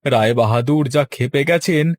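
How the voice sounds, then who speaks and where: A man's voice: medium pitch, moderate pace, dry recording, clean studio sound, lightly acted. A man voicing a panicky, money-minded village husband in a cartoon, fretful and exclamatory. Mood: panicked. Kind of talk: cartoon voice acting.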